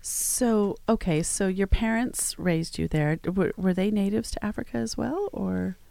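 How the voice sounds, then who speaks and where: A woman's voice: mid-range voice, unhurried, gravelly, dark tone, no echo. A woman hosting a studio interview, who welcomes her guests and prompts them with friendly questions. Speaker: interview host.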